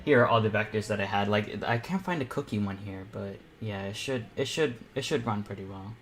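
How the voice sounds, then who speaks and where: A teenage male streamer chatting casually as host of an online painting panel, nervous and excitable, in a rambling way. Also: on the low side, brisk. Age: teens. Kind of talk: casual livestream chat. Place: indoors at a computer, live stream.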